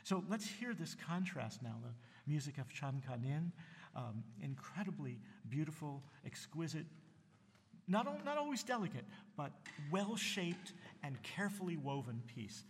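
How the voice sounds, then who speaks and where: Husky jersey-born guy hosting a concert, lots of uhs, big hall echo. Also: fairly high pitch, big pitch swings. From New Jersey. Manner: halting, full of fillers and restarts, enthusiastic. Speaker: concert host. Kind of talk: casual spoken introduction between concert pieces. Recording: long hall reverb.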